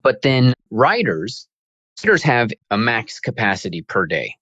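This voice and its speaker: A man's voice: medium pitch, sing-song, a little slow, a bit bright, breathy edge, no echo. A man hosting a casual interview, chatty and throwing in asides between his questions. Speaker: interview host. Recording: dull lo-fi audio.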